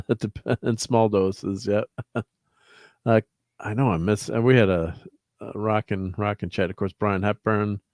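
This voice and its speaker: A man with a low voice hosts a casual livestream chat. He rambles along reading off viewer names and laughs easily. The sound is close and dry. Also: steady tone, slow pace, pauses often, a little mellow, no echo. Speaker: host.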